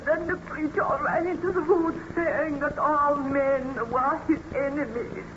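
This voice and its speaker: An actress playing a frightened, grieving peasant widow in old-time radio drama, with halting, tremulous, melodramatic delivery. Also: female voice, sits high, steady tone. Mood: shaken. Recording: muffled, noisy old radio recording.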